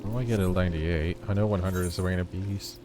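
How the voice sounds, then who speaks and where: Low-voiced man streaming over music. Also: steady tone, fast, mellow. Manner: informal, muttering to himself, swears. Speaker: game streamer. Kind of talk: casual gameplay commentary. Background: game music.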